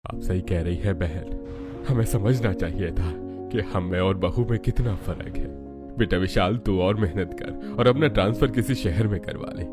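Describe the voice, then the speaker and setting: Solemn, decisive family patriarch, older, lower register, for cartoon drama, male actor. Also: normal speed, loud music behind the voice, close to an everyday voice. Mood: grave, sympathetic. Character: solemn, caring older father-in-law, head of family. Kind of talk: Hindi cartoon story dialogue.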